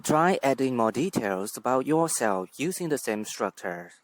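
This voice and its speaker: A man from Vietnam with a Vietnamese accent, a native speaker teaching his language in English with careful, deliberate explanations. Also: mid-range voice, expressive, a little hiss or hum. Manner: careful, deliberate, spells out words. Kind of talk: scripted language lesson.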